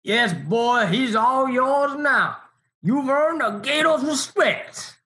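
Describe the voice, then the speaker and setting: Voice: sits high, animated, slow pace, pushed and bright, slight background noise, heavily acted. An actor playing a folksy, protective old grandpa in a radio play, with a drawl. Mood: fond.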